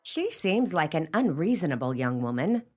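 Husky, scolding listener. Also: female voice, low voice, big pitch swings, normal speed, darkish tone, heavily acted. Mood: disapproving. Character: opinionated, scolding female listener to a tale. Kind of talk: theatrical radio play acting.

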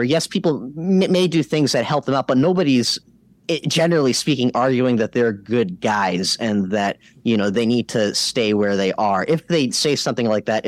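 A man's voice: mid-range voice, no echo. A man hosting a news commentary show, speaking in an emphatic, argumentative way and giving his opinions from loose notes.

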